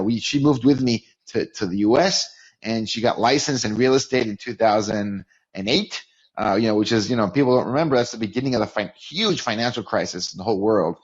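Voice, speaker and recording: A man's voice: mid-range voice, highs cut off. A man in his forties, from Mexico with a Californian accent, telling his story as a podcast guest, often repeating and restarting words. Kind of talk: casual interview storytelling.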